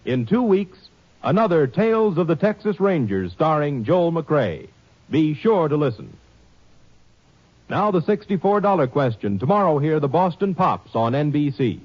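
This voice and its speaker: A man's voice: on the high side, big pitch swings. Formal vintage radio announcer, man. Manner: measured, formal announcer delivery. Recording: muffled old radio broadcast.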